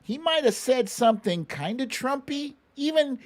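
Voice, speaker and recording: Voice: sits high, lively intonation, moderate pace. A show host giving casual, conversational political commentary, swearing freely and acting out what others said. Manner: acts out quotes animatedly.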